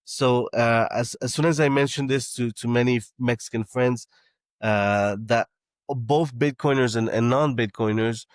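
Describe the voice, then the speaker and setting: A man's voice: mid-range voice, steady tone, a little slow, no room sound, clean studio sound. A man who grew up in Canada, a startup founder talking as a podcast guest, with many restarts and fillers. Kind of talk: casual interview talk.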